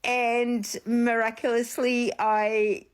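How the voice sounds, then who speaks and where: A woman's voice: on the high side, level tone, extremely slow, clean tone. A woman, a psychic medium, chatting casually and rambling through a personal story on her vlog, with a slight sniffle after being ill. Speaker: psychic medium and channel host.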